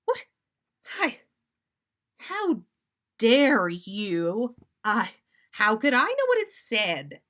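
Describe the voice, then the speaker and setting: A woman's voice: on the high side, wildly swooping pitch, very slow, pauses often, fairly bright tone, full character voice. A woman voicing an eager, mischievous grown man who wheedles and plays innocent in a story. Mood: mock outrage.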